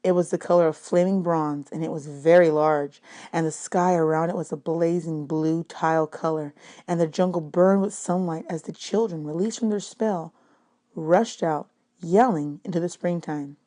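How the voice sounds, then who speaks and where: A woman with a slightly low voice narrates a story. Her read-aloud is expressive and measured, with dramatic emphasis suited to literary prose. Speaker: audiobook narrator.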